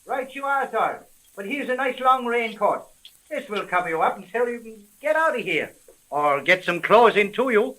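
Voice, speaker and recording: A man playing a wisecracking, commanding homicide sergeant in a 1930s radio drama, with colloquial, brisk speech. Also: high voice, lively intonation, pushed and bright, rough recording. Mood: practical. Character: gruff, wisecracking male police homicide sergeant.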